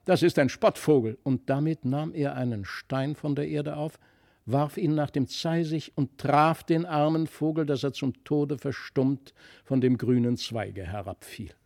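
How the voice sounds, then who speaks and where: Audiobook narrator, male: expressive fairy-tale storytelling, highish voice, dry sound. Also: unhurried, vocal fry, no room sound.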